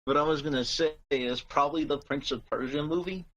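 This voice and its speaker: A man's voice: on the high side, brisk, fairly bright tone, muffled recording. A man chatting casually as a panelist in a podcast discussion.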